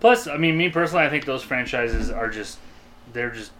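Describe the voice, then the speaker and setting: A man's voice: medium pitch, animated, fairly quick, over a noisy crowd. A man co-hosting a podcast, talking casually in a group discussion. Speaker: podcast co-host.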